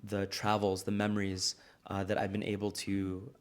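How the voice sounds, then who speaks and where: Earnest man with a low voice. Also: completely flat delivery, moderate pace, clean tone. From United States. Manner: earnest, reflective storytelling. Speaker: guest, restaurant founder. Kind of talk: conversational interview.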